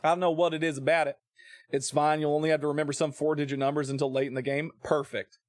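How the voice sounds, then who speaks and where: An American man streams a game in a somewhat high-pitched voice, recorded very dry and close. He comments casually and jokingly, reads text aloud, and slips playfully into folksy, drawled asides. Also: level tone, quick pace, dry recording.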